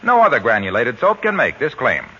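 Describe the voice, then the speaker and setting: Male commercial announcer, bright and animated, old-time radio ad. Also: medium pitch, brisk, slightly rough. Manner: cheerful, emphatic selling. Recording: dull old radio broadcast with faint hiss.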